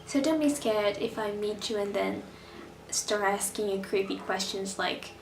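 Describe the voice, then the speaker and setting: A young Brazilian woman whose first language is Portuguese, speaking English with a mixed Brazilian, American and British accent in a chatty, animated vlog, talking from her bedroom. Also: mid-range voice, unhurried, gravelly, reverberant, a little hiss or hum, low-quality recording. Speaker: vlogger. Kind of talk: casual direct-to-camera vlog.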